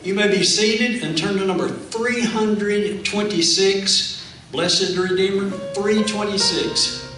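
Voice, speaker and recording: A man's voice: high voice, slow, over music. A man speaks as the leader of a church service, giving announcements from the platform. His voice comes from far off in a very echoey sanctuary. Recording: echoey church hall, distant pickup.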